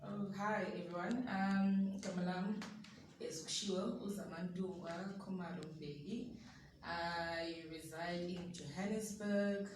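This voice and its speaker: A South African woman talks slowly and easily as a talk show guest, in a self-deprecating way. The room around her is very echoey, and the mic is some way off. Light noise sits underneath. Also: fairly low pitch, steady tone, a little mellow, far from the mic. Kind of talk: conversational interview answers.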